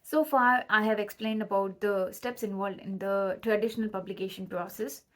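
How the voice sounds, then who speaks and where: A woman's voice: mid-range voice, steady tone, normal speed. A young woman, a native Tamil speaker with a Tamil accent, presenting an educational explainer step by step as a student addressing her viewers. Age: young adult.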